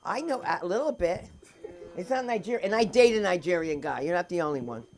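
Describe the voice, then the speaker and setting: A woman's voice: on the low side, lively intonation, a little fast, darkish tone. A woman hosting an open mic with casual, teasing, scattered banter. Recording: noisy live open mic room.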